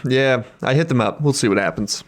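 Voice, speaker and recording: A man's voice: medium pitch, a little fast, slight background noise. A man co-hosting a podcast in casual comedic chat, slangy and profane. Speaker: podcast co-host.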